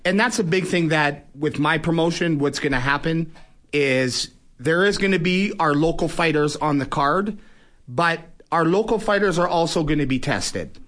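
A man's voice: a little high, normal speed, a bit bright. A man, speaking plainly and emphatically as a boxing promoter and fighter in a conversational interview.

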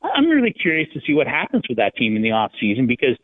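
Phone-like audio, man, sports radio analysis, conversational, halting restarts. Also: medium pitch, huge pitch swings. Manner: halting, conversational, thinking aloud with repeated restarts. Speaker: sports writer, guest analyst. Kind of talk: hockey talk radio discussion. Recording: thin, phone-like line.

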